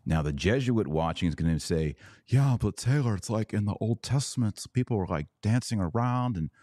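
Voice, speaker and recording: Deep-voiced guy doing a live podcast rant, slow and sarcastic, dry close mic. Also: animated, mellow, no room sound. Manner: sarcastic, emphatic, mocking asides. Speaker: podcast host. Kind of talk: livestreamed commentary podcast.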